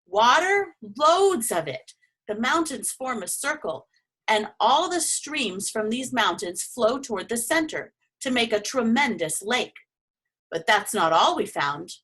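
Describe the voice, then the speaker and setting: A boastful explorer from a children's storybook, read by a woman, eager and emphatic as he reports his discovery. His delivery is animated, with a lightly husky tone. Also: on the high side, a little slow, exaggerated voice, poor audio. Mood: excited, proud. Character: excited boastful male explorer reporting a dragon find.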